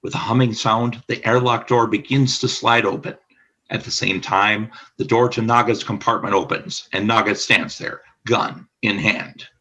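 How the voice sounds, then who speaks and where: Bright, husky, lowish male narrator. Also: normal speed. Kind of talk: scripted radio play narration.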